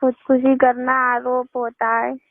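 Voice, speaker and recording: A tinny phone recording carries a young woman's high voice. Her intonation stays flat and even. She is insistent and unflustered in a personal conversation. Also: a little slow, creaky, no echo. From northern India. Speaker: phone caller. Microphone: telephone.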